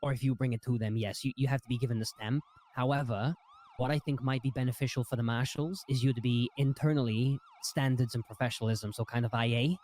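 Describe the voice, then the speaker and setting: A man in a roleplay meeting, playing an ex-prosecutor who lays out legal advice in a measured, lecturing way with frequent restarts. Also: mid-range voice, fairly even intonation, darkish tone. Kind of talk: in-character roleplay meeting talk.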